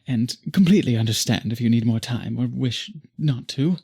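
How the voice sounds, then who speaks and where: A man voicing a courtly, possessive vampire in an intimate roleplay audio, with formal, florid, beseeching speech and a breathy voice. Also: medium pitch, normal speed, darkish tone. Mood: pleading, devoted. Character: courtly, obsessive, possessive male vampire pleading with the listener.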